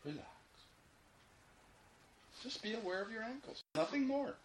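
A man leading a guided meditation in a soothing, instructional manner, repeating short phrases with long pauses. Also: very high-pitched, very animated. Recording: roomy echo, faint background noise.

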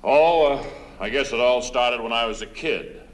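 A man playing a smug, brazenly corrupt small-town mayor in a deadpan radio interview sketch, genial and matter-of-fact about his crooked deeds. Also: medium pitch, normal speed, lightly acted. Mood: smug, unruffled. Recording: noisy old radio comedy recording.

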